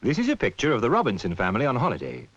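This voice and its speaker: A man's voice: mid-range voice, huge pitch swings, racing, fairly bright tone, slightly rough. A British man narrating a 1970s public information film, telling a story in an instructive way. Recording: muffled, noisy 1970s film soundtrack.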